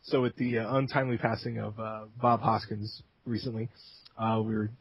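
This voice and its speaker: A laid-back man co-hosting a casual gaming podcast, cracking jokes and using a lot of fillers. His voice is husky and a little breathy. The recording is dull and lo-fi. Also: on the low side, flat delivery, moderate pace. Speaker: podcast co-host. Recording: dull lo-fi sound.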